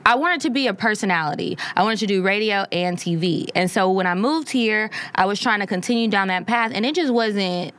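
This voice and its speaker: A woman from Louisiana talks in a relaxed podcast chat, telling stories with lively energy. Her voice sits at an ordinary middle pitch. She jumps into anecdotes with ease. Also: a little fast, few pauses, smooth. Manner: animated storyteller. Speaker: podcast co-host. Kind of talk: casual chat.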